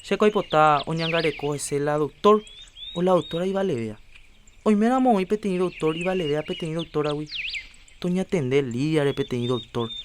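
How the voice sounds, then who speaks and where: A man's voice: a little high, normal speed, background noise, lightly acted. A man playing an earnest health promoter. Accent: Paraguayan. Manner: earnest, persuasive, lecturing. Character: earnest adult man urging health checkups. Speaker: Rafael, a community health promoter. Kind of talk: educational radio drama acting.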